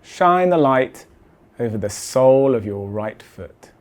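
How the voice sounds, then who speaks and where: A man guides a body scan meditation at a mid-range pitch. His delivery is measured and deliberate, and his phrases settle softly at the ends. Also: lively intonation.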